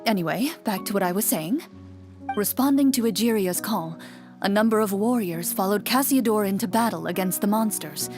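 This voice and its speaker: A composed young swordswoman, acted by a woman, with a mid-pitched voice and formal, measured delivery. She explains things matter-of-factly as a game master in a video game, with music clearly behind her. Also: darkish tone, close to an everyday voice. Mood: composed, explanatory.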